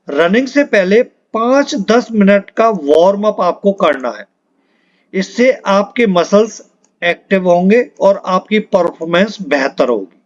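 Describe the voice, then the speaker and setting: A man coaching students in an informal, instructive way, repeating key points and often adding short check-in tags. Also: sits high. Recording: dull lo-fi audio.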